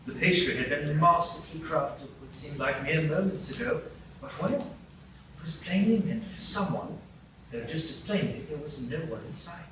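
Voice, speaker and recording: A man narrating a live radio play in a measured storytelling manner. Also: fairly high pitch. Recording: echoey live stage from far off, with noise.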